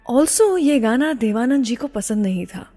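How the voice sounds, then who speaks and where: A woman's voice: on the high side, lively intonation, measured pace, warm tone, in a large hall. A woman radio host presenting a classic film music show, switching between Hindi and English phrases.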